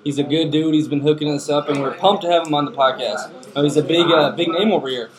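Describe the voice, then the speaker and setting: A man co-hosting a podcast, chatting casually with quick, playful questions. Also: on the high side, fairly even intonation. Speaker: podcast co-host. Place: busy bar. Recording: bar crowd chatter behind.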